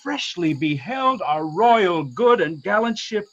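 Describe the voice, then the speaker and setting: A slightly muffled recording of a man playing an amazed, excitable ship's boatswain. His voice is husky and leaps around in pitch, halting through Shakespearean radio dialogue. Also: heavily acted. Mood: bewildered, excited. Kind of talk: Shakespearean radio play acting.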